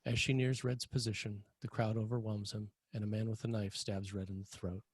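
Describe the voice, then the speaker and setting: Husky, low-voiced male screenplay narrator. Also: flat delivery, fairly quick, a little mellow, dry recording. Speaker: narrator reading stage directions.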